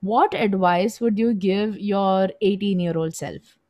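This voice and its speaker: A woman's voice: medium pitch, takes it slowly, highs cut off. A young woman around twenty hosts a casual podcast interview, posing questions and readily voicing agreement with her guest. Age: young adult, around twenty. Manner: affirming, often echoes agreement. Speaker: podcast host.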